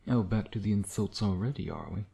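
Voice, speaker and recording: A man playing a smug, flirtatious vampire who dotes on his prey in an intimate ASMR roleplay, teasing and murmuring with breathy asides. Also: lowish voice, brisk, mellow.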